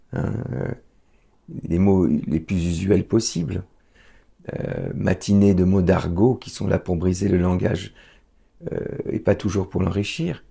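A middle-aged man with a very deep voice, rough and raspy with a gravelly edge. He talks in an emphatic, declamatory way, pausing to search for words. Recorded up close on a lapel mic in a small room. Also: warm tone. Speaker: guest. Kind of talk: conversational interview. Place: small indoor room, seated in armchair.

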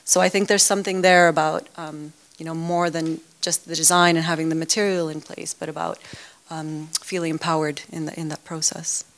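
A woman's voice: low-pitched, level tone, a little fast. A woman speaking off the cuff as a panelist, explaining her points in a hedging way. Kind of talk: unscripted panel discussion.

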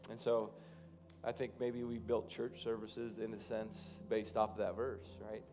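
A man in his thirties, a pastor, preaching and then praying over his congregation from a church stage, with loose, halting phrasing that rises to fervent prayer. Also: fairly low pitch, little pitch movement. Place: large church stage, indoors.

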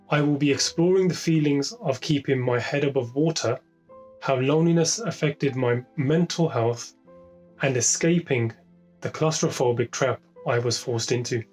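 A man from Birmingham, an artist, reading a measured statement about his work. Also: mid-range voice, darkish tone. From Birmingham, England. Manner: measured, read aloud.

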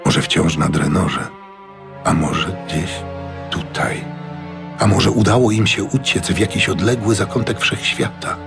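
Low-pitched male audiobook narrator. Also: steady tone, measured pace, gravelly. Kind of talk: scripted fantasy audiobook narration. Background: music.

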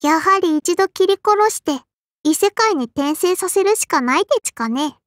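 A woman's voice: very high-pitched, lively intonation, normal speed, dry recording, clean studio sound. A woman voicing a small, strict and prim sparrow-spirit girl in an anime game, with a childlike lisp and polite but scolding speech. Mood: prim, critical. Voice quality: childlike, lisping. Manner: polite but scolding, quirky verbal tic. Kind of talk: anime game character voice lines.

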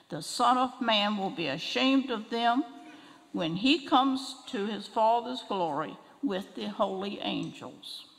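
An older woman reading scripture aloud at a church lectern, slow and careful. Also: a little high, creaky. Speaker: scripture reader. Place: large church sanctuary, at a lectern. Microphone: lectern microphone. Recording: long reverb of a large church hall.

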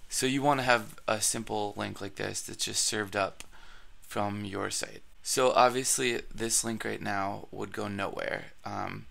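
A man hosting a screen-recorded blogging tutorial, his voice raspy from allergies, talking casually with plenty of fillers and restarts. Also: fairly low pitch, fairly even intonation, unhurried, hardly any pauses, sharp tone.

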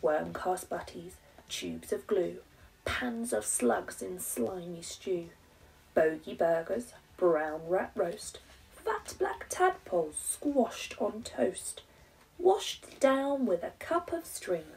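A woman's voice: fairly low pitch, lively intonation, takes it slowly, vocal fry, darkish tone, far from the mic, poor audio. A woman in her thirties with an English accent, a primary teacher reading a rhyming children's story with dramatic emphasis.

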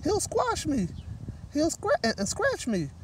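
A man voicing a frightened, tiny mother mouse, pleading in a squeaky voice for a storybook. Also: wildly swooping pitch, unhurried, full character voice. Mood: panicked, pleading. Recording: distant microphone, light outdoor noise.

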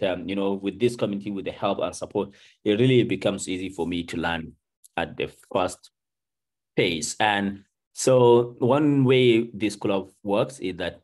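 A Nigerian man in his thirties leading a book club on a video call, talking casually with lots of ums and you knows. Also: fairly low pitch. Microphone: video call webcam.

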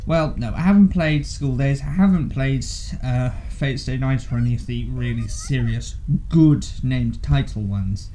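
Young guy guest chatting, soft dark tone, kinda halting, music behind him. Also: mid-range voice, a little hiss or hum. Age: young adult. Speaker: guest co-commentator. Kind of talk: casual chat.

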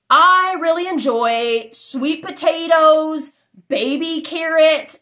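A young adult woman reading aloud for children in an exuberant, sing-song chanting way with drawn-out words. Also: high-pitched, sharp tone. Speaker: host of a children's read-aloud channel. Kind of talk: animated children's picture-book read-aloud. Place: small furnished room indoors.

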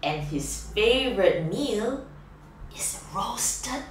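A woman's voice: mid-range voice, lively intonation, drawn-out words, a little hiss or hum. A woman voicing a small, clever mouse who is polite and bold, in an echoey room from a distant mic. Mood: cheeky.

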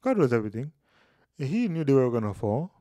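Man, 30s, Bible teacher, casual explainer, mid pitch, dry booth sound. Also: brisk, no room sound. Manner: explanatory, animated hand gestures. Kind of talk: casual Bible study video. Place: small room indoors. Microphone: studio mic on boom, headphones.

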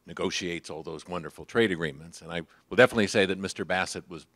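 Deep-voiced older man in the audience. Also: fast talker, fairly bright tone. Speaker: audience member asking a question. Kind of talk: audience question. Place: library meeting room, in the audience. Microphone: handheld microphone.

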